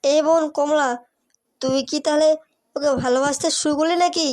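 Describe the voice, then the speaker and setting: A woman's voice: sits high, steady tone, normal speed, a little mellow, lightly acted. A woman voicing a bossy, teasing elder sister who coaxes and advises in a comic cartoon. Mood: teasing, coaxing.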